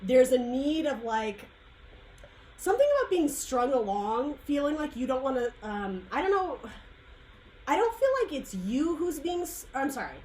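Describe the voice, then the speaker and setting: A woman's voice: high voice, animated, normal speed, a little mellow, a little hiss or hum, low-quality recording. A woman giving a casual tarot reading, her throat dry and her voice going, rambling and searching for words. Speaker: tarot reader.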